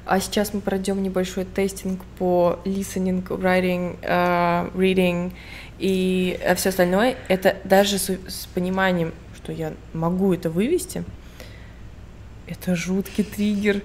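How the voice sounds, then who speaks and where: A young woman from the Urals co-hosting a casual podcast, animated and loud at times, dropping English phrases into her talk. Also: on the low side, measured pace, a little hiss or hum. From Russia (Urals). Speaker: podcast co-host. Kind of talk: casual chat.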